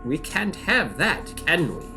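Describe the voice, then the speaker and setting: A man playing a malevolent, calm knight in an audio drama, taunting and hungry, with a theatrical, drawn-out, mockingly friendly delivery. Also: fairly high pitch, music in the background, full character voice. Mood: sinister pleading. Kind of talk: audio drama villain monologue.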